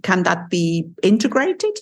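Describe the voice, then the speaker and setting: Slightly low-voiced female interview host. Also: sing-song, unhurried, darkish tone. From Switzerland. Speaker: podcast host. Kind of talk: conversational interviewing.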